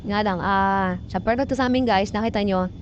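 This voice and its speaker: A woman's voice: mid-range voice, fairly quick. Muffled recording of a Filipino woman explaining. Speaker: vlogger explaining a technical job. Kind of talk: informal tutorial narration mixing technical English terms. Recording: muffled lo-fi audio with background noise.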